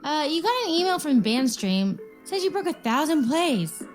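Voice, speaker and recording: Animated, brash young woman, played by an actress. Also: sits high, moderate pace, faint music behind. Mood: surprised. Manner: casual, blunt, exclamatory. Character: Diana, excitable, sweary young woman musician, breezy and brash. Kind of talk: naturalistic audio drama dialogue.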